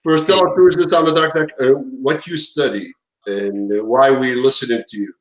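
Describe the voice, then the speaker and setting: A male host opens his online talk show in a hesitant, halting way, stopping often on fillers and restarting his phrases. His voice sits at an ordinary middle pitch. It echoes around the room he is recording in. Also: steady tone.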